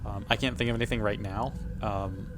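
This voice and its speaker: A man's voice: fairly low pitch, steady tone, fairly quick, background noise. A man talking casually as a player working through moves in a tabletop role-playing game.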